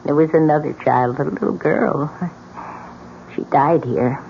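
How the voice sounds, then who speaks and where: An actress playing an old widow in a radio drama, grave, insistent and full of dramatic warnings. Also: female voice, deep voice, vocal fry. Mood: wistful, sad. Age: older. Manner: grave, dramatic, slow pronouncements. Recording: dull, noisy old radio recording.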